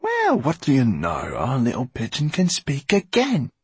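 A man's voice: lowish voice, unhurried, vocal fry, dark tone, husky, dry recording, exaggerated voice. A man voicing a superstitious, mocking henchman in an audiobook, with a taunting way of talking. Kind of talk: audiobook character acting.

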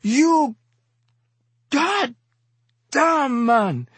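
A man's voice: very high voice, expressive, extremely slow, fairly bright tone, no echo, voice pushed up, full character voice. A male audiobook reader voicing a heavyset, furious and anguished street exhorter who pleads passionately with emphatic repetition. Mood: tearful pleading. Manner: impassioned, declamatory, emphatic repetition in dialect. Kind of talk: audiobook character dialogue.

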